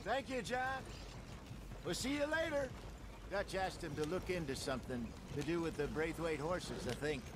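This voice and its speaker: An actor playing a genteel, sly old outlaw con man, smooth-talking and wry, in a western video game. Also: a little high, expressive, moderate pace, noisy background, natural voice. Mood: genial, scheming. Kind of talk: video game cutscene acting.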